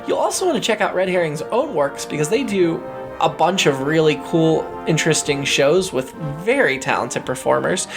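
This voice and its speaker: An American man with a high voice for a male, speaking as a podcast host and interviewer. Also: lively intonation, moderate pace, creaky, over music. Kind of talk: podcast announcements and chatty interview.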